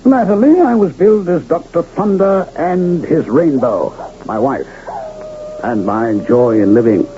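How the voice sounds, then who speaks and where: A man's voice: on the high side, a little slow, dark tone, close to an everyday voice. An actor playing a sly, genial older carnival showman in a radio drama, with theatrical, courtly, florid diction. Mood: grandiloquent, persuasive. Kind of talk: old-time radio drama acting. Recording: muffled, noisy vintage radio broadcast.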